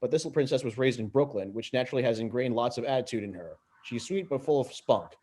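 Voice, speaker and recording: A man's voice: mid-range voice, quick pace, warm tone. A man reading screenplay stage directions aloud as narrator. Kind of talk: read-aloud script narration.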